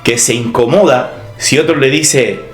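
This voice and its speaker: A man from Cuba with a Cuban Spanish accent, softened by years in Madrid, talking through a reflective spoken essay as a podcast host, with ironic asides. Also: mid-range voice, measured pace. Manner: ironic, lively intonation with wry asides. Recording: roomy echo with soft background music.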